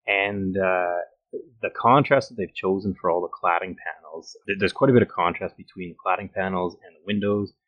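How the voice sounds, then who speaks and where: A man's voice: low voice, fairly even intonation, normal speed, highs cut off. A man co-hosting a conversational podcast, with an understated, dry manner. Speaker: podcast co-host.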